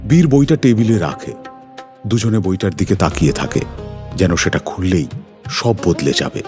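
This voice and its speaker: A man's voice: on the low side, darkish tone. A man narrating a scripted suspense story in a dramatic, suspenseful manner. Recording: music behind the voice.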